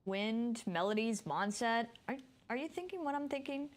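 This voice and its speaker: A woman's voice: fairly high pitch, expressive, moderate pace. A woman streamer giving informal, rambling live commentary while she plays a video game. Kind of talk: casual live gameplay commentary.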